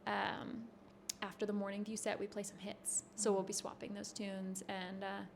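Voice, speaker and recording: A woman in her thirties talks backstage in a loose, rambling manner at a middle pitch. A faint hiss of background noise runs under her voice. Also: moderate pace, vocal fry. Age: 30s. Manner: relaxed, rambling, laughs easily. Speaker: touring bassist guest. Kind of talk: backstage interview chat. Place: backstage at a concert venue.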